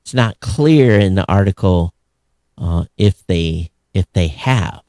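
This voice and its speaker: A man's voice: sits low, slow, dark tone, no echo, clean studio sound. A man co-hosting a podcast, giving casual commentary on tech news and repeating phrases for emphasis. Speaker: podcast co-host.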